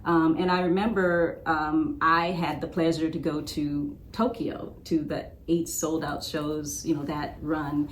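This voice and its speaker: Lower-voiced woman host, enthusiastic, noisy recording. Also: flat delivery, unhurried, hardly any pauses, echoey room. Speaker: interviewer and host. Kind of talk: casual interview chat and reminiscing.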